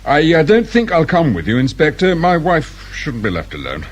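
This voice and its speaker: Husky, irritable British father. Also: fairly high pitch, wildly swooping pitch, normal speed. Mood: subdued, evasive. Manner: impatient, defensive. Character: irritable, anxious, well-off father of a missing boy. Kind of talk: radio drama acting.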